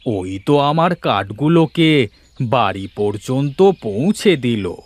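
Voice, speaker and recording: An actor playing a frail, weary old forest man in a cartoon, with a plaintive, halting delivery. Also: mid-range voice, sing-song, no room sound.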